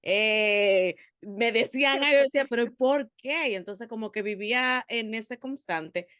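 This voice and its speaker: A woman from the Republic, giving an earnest, animated personal testimony as a theologian on a panel, with frequent hesitations. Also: a little high, unhurried, smooth, no room sound, studio quality. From Dominican Republic.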